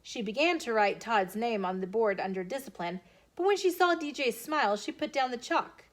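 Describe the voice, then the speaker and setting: An American woman reading a children's storybook aloud in an expressive, animated way as a storytime host. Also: a little high, moderate pace. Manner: animated, emphatic character dialogue.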